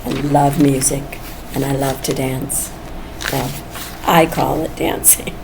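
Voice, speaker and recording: A woman's voice: a bass voice, little pitch movement, slow pace, creaky. A woman of almost seventy talking casually and candidly in a personal vlog, musing as she goes. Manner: candid, musing, chuckles often. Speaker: vlogger. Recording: noisy background ambience.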